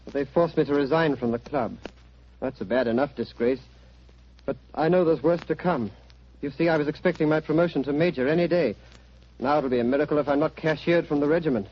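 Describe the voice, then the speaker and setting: A man's voice: on the high side, a little fast, natural voice. A man playing a proud, anguished young British army captain in a 1940s radio drama, speaking earnestly in clipped phrases. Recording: dull, noisy 1940s radio recording.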